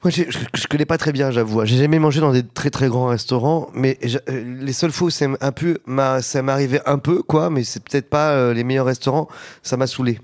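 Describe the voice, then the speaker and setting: A man's voice: medium pitch, brisk, a little mellow. A man with a mid-range voice talks as a guest on a chat show. He stammers and restarts his sentences often, then breaks into laughter. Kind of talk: casual chat.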